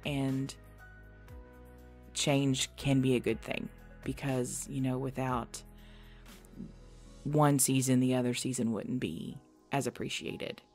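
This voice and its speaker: Woman, low register with noticeable vocal fry, informal solo Q&A chat over background music. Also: a little mellow. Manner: informal, chatty, self-deprecating. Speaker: audio creator answering listener questions. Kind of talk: casual Q&A chat.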